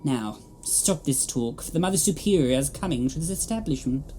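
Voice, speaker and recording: An actress playing a stern, sanctimonious alien nun in a sci-fi audio drama, with a commanding manner. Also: female voice, sits low, lively intonation, moderate pace, dark tone, slight background noise. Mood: menacing, urgent. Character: stern, pious, domineering alien nun in charge of hospital.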